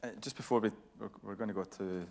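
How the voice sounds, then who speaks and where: A man's voice: on the low side, steady tone, fast talker, creaky, a little mellow. A man hosting a live on-stage interview, putting probing questions to a guest. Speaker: interviewer and event host. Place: radio theatre with a seated audience.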